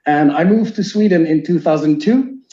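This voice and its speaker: French-Canadian male teacher, 40s, earnest lecturer, raised mellow voice, echoey lo-fi recording. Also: on the high side, normal speed. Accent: Québécois French. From Quebec, Canada. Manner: earnest, explanatory, with frequent fillers and restarts. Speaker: teacher giving a seminar. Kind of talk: online seminar presentation. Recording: echoey room, muffled lo-fi sound.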